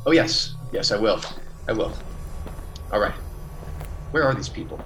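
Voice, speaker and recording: A man's voice: fairly low pitch, expressive, unhurried, fairly bright tone, soft background music. A man playing an earnest, defensive biologist on a colony planet in a classic radio play, emphatic and easily flustered. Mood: brisk, resolute.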